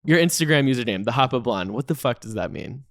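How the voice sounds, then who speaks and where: A man's voice: mid-range voice, expressive, fast talker, fairly bright tone, no room sound. A man co-hosting a podcast in casual chat, playful and teasing, swearing casually. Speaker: podcast co-host.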